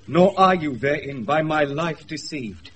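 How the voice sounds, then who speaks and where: Casting: amused young British gentleman in radio Shakespeare, slow formal delivery, off-mic, hissy recording. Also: a little high, expressive. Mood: amused, tender. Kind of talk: 1980s radio Shakespeare acting. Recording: noisy off-air recording, distant from microphone.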